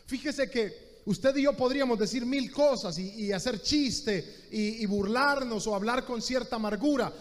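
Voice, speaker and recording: A man's voice: extremely high pitch, darkish tone, big-room sound. A Honduran man preaching a sermon as pastor, speaking directly to the listener and repeating key phrases. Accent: Honduran Spanish.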